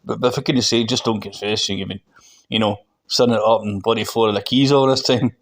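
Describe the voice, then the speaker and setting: A man's voice: fairly low pitch, fairly even intonation, a little fast, lightly acted. Concerned Glasgow pastor played by a male reader, matey, probing audiobook dialogue. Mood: gently teasing.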